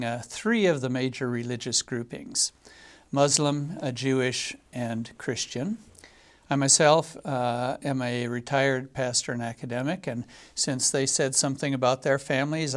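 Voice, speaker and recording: Retired guy in his 70s hosting a local tv panel, pauses a lot, uh uh. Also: mid-range voice. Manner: hesitant, many filler pauses. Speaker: talk show host. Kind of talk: community TV panel discussion.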